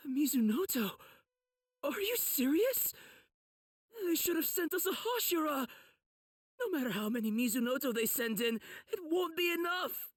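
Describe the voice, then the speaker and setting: A man's voice: very high voice, animated, normal speed, dry recording, clean studio sound. A man voicing a desperate young demon-slayer soldier in an anime game, anxious and pleading. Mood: panicked, pleading. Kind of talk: anime game cutscene acting.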